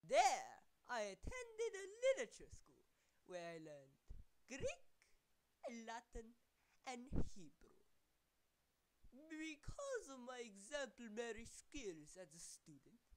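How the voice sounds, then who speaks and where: A woman's voice: fairly high pitch, huge pitch swings, slow, full character voice, poor audio. A woman playing a proud, formal elderly male statesman in a theatrical, declamatory historical monologue. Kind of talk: scripted first-person historical monologue.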